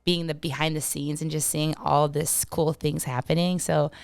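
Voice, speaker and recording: A young woman from Minnesota with a Minnesotan accent, chatting as a podcast guest, full of fillers and quick laughs. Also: deep voice, little pitch movement, creaky, clear, resonant tone, dry recording. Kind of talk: casual podcast chat.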